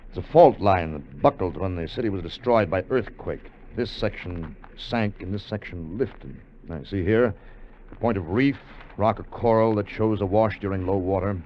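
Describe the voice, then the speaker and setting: Earnest salvage expedition leader, acted by a man, low-pitched. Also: normal speed, lightly acted. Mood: earnest, purposeful. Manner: hesitant starts, earnest explaining. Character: Fletcher Travis, earnest, cautious leader of a treasure salvage voyage. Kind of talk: 1950s radio drama acting. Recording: dull, faintly noisy vintage radio recording.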